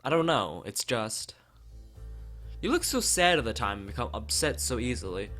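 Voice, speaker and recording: A man voicing a strange, timid teenage schoolgirl in a visual novel, rambling in halting, muddled run-on sentences. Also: medium pitch, sing-song, a bit bright, soft background music. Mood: hesitant.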